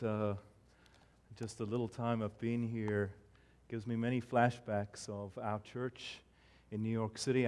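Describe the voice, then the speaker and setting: A man in his forties from South Africa, whose accent is a South African and American mix, preaching a sermon as guest pastor in an earnest, friendly manner with frequent "uh" fillers. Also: lowish voice. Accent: South African mixed with American. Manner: warm, earnest, self-deprecating pulpit delivery with frequent fillers.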